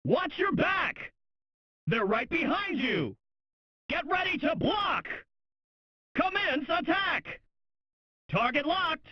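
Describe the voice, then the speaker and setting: Arcade racing game announcer, excited and brash, slow emphatic delivery, punchy phrases, dull lo-fi game audio. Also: very high-pitched, dry recording, full character voice. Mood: urgent cheering. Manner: exclamatory, punchy short phrases. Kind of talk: video game race announcer voice clips. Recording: muffled, lo-fi game sound rip.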